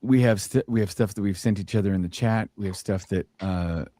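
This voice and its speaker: Low-voiced joking podcast host. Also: male voice, fairly quick, mellow, no room sound. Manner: joking, loose, restarts a lot. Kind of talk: casual live-stream chat.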